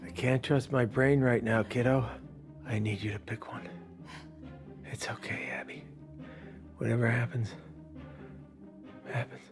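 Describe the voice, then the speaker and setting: An actor playing a poisoned middle-aged uncle, weary and resigned, speaking weakly and haltingly in a film drama. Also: male voice, mid-range voice, steady tone, natural voice. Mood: weak, pleading. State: weak, dying. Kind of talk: acted film dialogue. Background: music.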